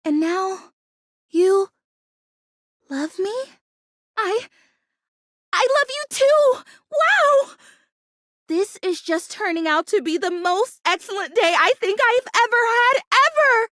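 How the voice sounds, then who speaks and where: Living female houseplant, extremely high voice, girlish, excitable, sweetly earnest, video game character, close dry sound. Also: takes it slowly, pauses often, no echo, exaggerated voice. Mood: overjoyed, grateful. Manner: gushing, girlish, earnest, expressive.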